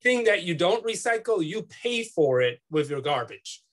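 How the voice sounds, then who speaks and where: Halting Swiss man on a video call. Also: high-pitched, very animated. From Switzerland. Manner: halting, many fillers and restarts. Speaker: student interviewer. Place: home office. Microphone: laptop webcam on video call.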